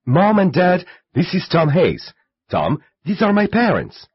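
A cheerful young man in an English course dialogue, speaking with a lilting, very expressive voice that is slightly husky. The tape sounds a little muffled. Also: high-pitched, a little slow, exaggerated voice. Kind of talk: scripted textbook dialogue.

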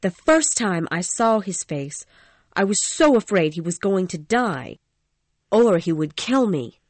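Storybook figure: a scared young woman singer, anxious and pleading, put on by a male narrator. High voice, dry recording. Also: sing-song, measured pace, dry recording, exaggerated voice. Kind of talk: storybook reading.

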